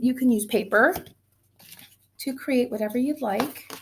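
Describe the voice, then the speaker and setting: A woman's voice: sits high, a little hiss or hum. A woman teaching young children in an encouraging, sing-song way, asking them questions as she counts, chants and tells simple stories.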